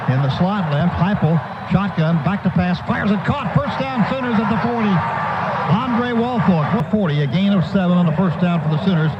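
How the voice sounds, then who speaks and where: A high-pitched American man calls football play by play for radio. He narrates each move in clipped, present-tense bursts. The sound is muffled, with clear stadium noise behind him. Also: normal speed. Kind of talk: live football play-by-play commentary. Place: stadium broadcast booth. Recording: muffled, noisy old radio broadcast.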